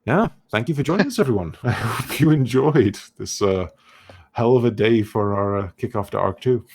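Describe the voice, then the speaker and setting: A man's voice: lowish voice, lively intonation, moderate pace, darkish tone, breathy edge. A man hosting a tabletop game podcast as game master, chatting casually and informally. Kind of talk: casual post-game chat and sign-off.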